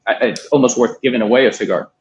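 A man's voice: mid-range voice, fast, darkish tone. A man in his thirties from Miami telling a long story in a casual interview, swearing now and then and quoting others. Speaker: guest, cigar importer. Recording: dull lo-fi sound.

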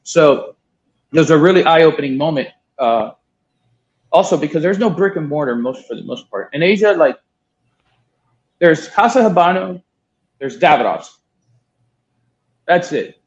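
A man's voice: fairly high pitch, expressive, unhurried, pauses often. A man in his thirties from Miami telling a long story in a casual interview, swearing now and then and quoting others. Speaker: guest, cigar importer. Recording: dull lo-fi sound.

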